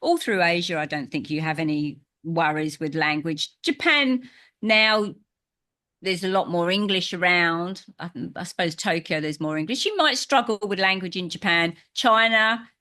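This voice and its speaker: Aussie woman in her 50s, bright voice, animated storyteller on a podcast. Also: fairly low pitch, moderate pace. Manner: animated storyteller, acts out her own reactions. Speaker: podcast guest. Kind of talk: casual conversation.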